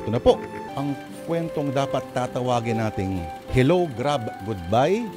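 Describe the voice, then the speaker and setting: A man's voice: medium pitch, lively intonation, moderate pace. A man with a Filipino accent hosting and narrating a radio drama introduction in a theatrical, mock-heroic way. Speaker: host and narrator. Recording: music bed behind the voice.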